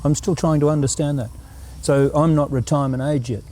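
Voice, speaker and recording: Guest spot: middle-aged Australian man, dark and even, reflective. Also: a little high, soft background music. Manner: earnest, reflective, unhurried. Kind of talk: interview answers.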